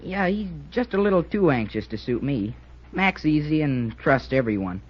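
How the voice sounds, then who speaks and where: An actor playing an earnest, joking teenage military school cadet in an old-time radio serial, with an American accent and a folksy, bantering way of talking. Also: male voice, a little high, sing-song, normal speed. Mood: earnest, worried. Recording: dull old radio recording.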